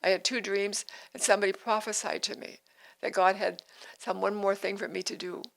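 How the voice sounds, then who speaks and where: Woman in her 80s hosting a faith podcast, gravelly voice, earnest and repetitive. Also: mid-range voice, level tone, a little fast, poor audio. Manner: earnest, repeats phrases, restarts sentences. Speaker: podcast host. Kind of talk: devotional podcast talk.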